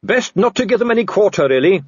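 A man's voice: on the high side, very animated, normal speed, breathy edge, no echo, lo-fi sound, voice pushed up. A man voicing a cheerful, earnest young watch captain who is polite and deferential, in an audiobook. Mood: cheerful, helpful. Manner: chipper, deferential, matter-of-fact. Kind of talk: audiobook character acting.

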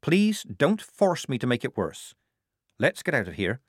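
A man's voice: mid-range voice, wildly swooping pitch, a little slow, no room sound, clean studio sound, pitched above the performer's own voice. A man doing a firm, level-headed young woman soldier.

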